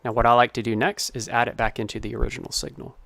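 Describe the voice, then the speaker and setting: A man's voice: medium pitch, little pitch movement, fast, creaky, fairly bright tone. A man giving an informal tutorial as a sound designer, explaining his process step by step. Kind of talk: informal screen-recorded tutorial narration.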